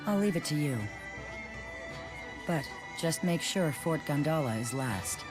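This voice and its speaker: A woman's voice: low voice, lively intonation, unhurried. A woman voicing a proud, aloof ancient ice spirit in a video game, speaking in a cool, formal and curt way. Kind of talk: video game cutscene voice acting. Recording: music under the voice.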